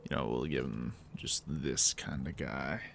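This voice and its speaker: A man's voice: very deep voice, fairly even intonation, measured pace, vocal fry, a little hiss or hum. A man narrating a tabletop roleplay game as game master, casual and improvising in a jokey way.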